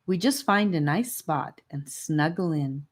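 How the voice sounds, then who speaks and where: A woman's voice: deep voice, expressive, measured pace. A woman voicing a friendly, proud boy guide from a fantasy world in a children's audiobook.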